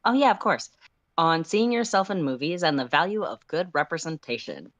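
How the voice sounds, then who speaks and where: A story streamer with a medium-pitched voice and a very dry recording. The delivery is casual and a little self-deprecating, moving between chat and reading fiction aloud. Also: very animated, normal speed, fairly bright tone, dry recording. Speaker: livestream co-host and story reader.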